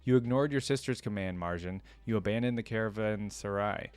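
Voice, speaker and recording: A man acts out a cold and calm ice witch from a storybook, low and measured. She is mocking and condescending, with quiet menace, in a dry close sound. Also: clear, resonant tone, no echo, natural voice. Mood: cold, sly. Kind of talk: storybook character reading.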